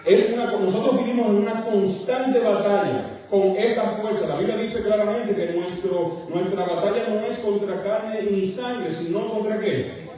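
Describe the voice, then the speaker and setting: A man with a Dominican accent delivers a sermon in a high, mellow voice. He speaks emphatically but often stops to correct himself. The sound is heavily echoing, with the long reverb of a large hall. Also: a little slow. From Dominican Republic, partly raised in Queens, New York. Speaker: pastor, preacher. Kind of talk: church sermon. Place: church sanctuary, speaking to congregation. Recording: very echoey large hall, distant microphone.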